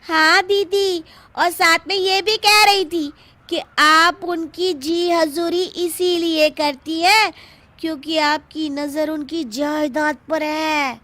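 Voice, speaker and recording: A woman voicing a sly young daughter-in-law in an animated comic story, gossipy and exaggerated. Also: extremely high pitch, slow, no echo, exaggerated voice. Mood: sly gossip. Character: sly young scheming daughter-in-law who stirs up trouble.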